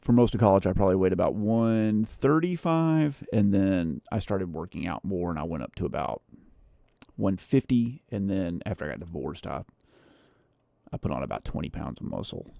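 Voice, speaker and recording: A man with a naturally bassy voice chatting casually and in a rambling way as a livestream host, close on a studio condenser microphone. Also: sing-song, normal speed, creaky, dark tone, no room sound. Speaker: livestream host editing a video. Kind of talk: casual livestream chat while editing.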